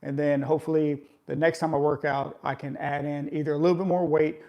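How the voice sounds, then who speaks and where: A man's voice: fairly high pitch, little pitch movement, brisk, lo-fi sound. A man in his forties chatting casually with live viewers about workouts, coaching in a conversational way and often tagging his points with "right?". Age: 40s. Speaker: fitness vlogger.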